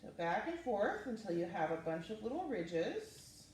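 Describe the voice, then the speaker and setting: A woman's voice: medium pitch, very animated, moderate pace, darkish tone. A woman hosting a children's story time and craft session, speaking casually and encouragingly as she talks young children through each step. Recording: roomy, distant mic with faint noise.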